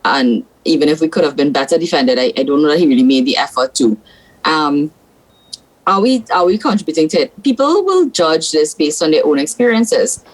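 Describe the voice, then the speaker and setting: Low-voiced Trinidadian woman senator. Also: animated, fairly quick, low-quality recording. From South Trinidad. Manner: blunt, frank opinions. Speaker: senator, guest. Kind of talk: political talk show discussion.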